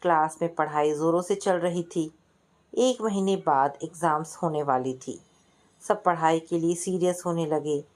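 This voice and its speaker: A woman storyteller narrates a scripted family story in a slightly low-pitched voice. She tells it as prose narration and steps into the dialogue of its characters. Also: a little slow, darkish tone.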